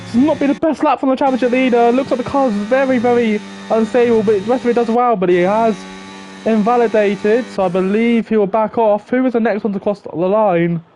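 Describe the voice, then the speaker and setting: A man's voice: extremely high pitch, steady tone, fairly quick, dark tone, a little hiss or hum, low-quality recording. A young man giving live, chatty commentary on an online racing league, thinking aloud and talking to viewers. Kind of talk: live esports race commentary.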